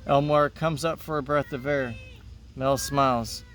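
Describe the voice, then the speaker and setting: A man's voice: mid-range voice, steady tone, a little slow, fairly bright tone, distant mic. A man speaking as a teacher, reading a children's play aloud to his students. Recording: noticeable background noise.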